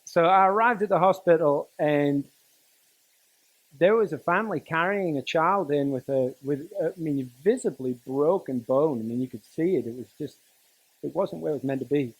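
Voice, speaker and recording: A man's voice: a little high, no echo, low-quality recording. Middle-aged man. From Newcastle, northern England. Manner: conversational, halting delivery with dry humour. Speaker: teacher and coach giving a speech to students. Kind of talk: personal motivational talk, storytelling.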